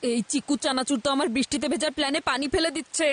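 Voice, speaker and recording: A high, eager cartoon boy , voiced by a woman, mischievous and desperate to play in the rain. Bright music plays under him. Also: racing, natural voice. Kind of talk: Bengali cartoon dub.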